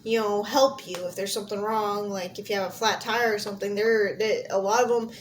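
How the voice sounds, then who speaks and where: A woman's voice: medium pitch, steady tone, fairly quick, rough recording. A young American woman from Montana giving candid, informal answers as an interviewee, thinking aloud as she goes. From Montana, United States. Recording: clear background noise, amateur audio log.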